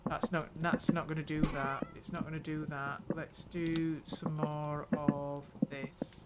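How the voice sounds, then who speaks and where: An older woman talks her way through a video game, thinking aloud as she goes. She speaks slowly and unhurriedly, pausing to muse over each small task. A steady hiss of background noise sits under her home recording. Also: sits low. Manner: unhurried, muttering thoughts aloud while playing. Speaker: gaming channel host. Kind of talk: let's play commentary.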